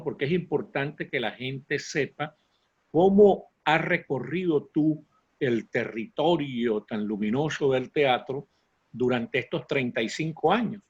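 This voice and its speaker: A man hosting a cultural interview in a conversational but formal way, with florid, deliberate phrasing. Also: a little high, husky, muffled recording. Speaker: talk show host and interviewer.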